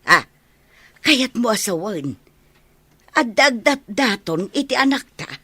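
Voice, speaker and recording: A woman's voice: a little high, very animated, takes it slowly, sharp tone, husky, no room sound, full character voice. An actress playing a nosy, coaxing older village mother in a radio drama, drawing out her interjections. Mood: curious.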